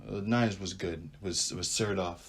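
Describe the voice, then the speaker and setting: Low-voiced man rambling about sports. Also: fast, husky. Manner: animated, rambling, thinks aloud with frequent asides. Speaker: podcast host. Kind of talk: casual sports talk monologue.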